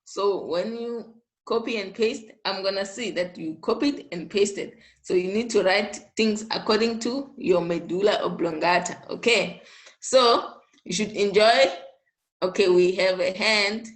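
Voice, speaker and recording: A woman's voice: medium pitch, a little slow, rough recording. A woman teaching an online class, coaxing and chatty, often checking in with "okay?".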